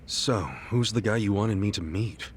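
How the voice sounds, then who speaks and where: A man playing a brash, warm-hearted yakuza hero in a video game dub, earnest, informal and emotional. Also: on the low side, moderate pace, slight background noise, close to an everyday voice. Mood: curious, puzzled.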